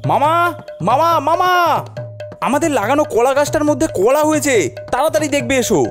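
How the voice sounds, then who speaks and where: A man voicing a timid, excitable young nephew in a cartoon, speaking in an anxious, exclaiming way. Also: very high voice, normal speed, faint music behind. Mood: excited. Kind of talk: cartoon voice acting.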